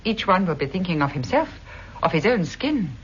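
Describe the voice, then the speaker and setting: An actress playing a proud, wounded mistress of a master criminal, intense and formal, in 1960s radio drama style. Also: female voice, on the low side, very animated, moderate pace. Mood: challenging. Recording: muffled vintage radio recording, faint noise.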